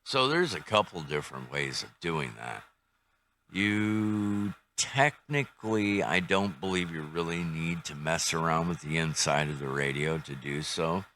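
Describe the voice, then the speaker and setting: Older man, deep pitch, bright forceful tone, casual reviewer, tentative, thinking aloud. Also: expressive, measured pace, slight background noise. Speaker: radio reviewer. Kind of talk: casual hands-on product review.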